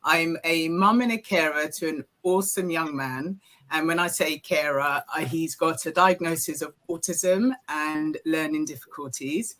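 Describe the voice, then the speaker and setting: Woman, 40s, from ghana, grew up in the uk, bright voice, steady on a video call. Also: sits low, moderate pace. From Ghana, raised in the UK. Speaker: guest, civil servant.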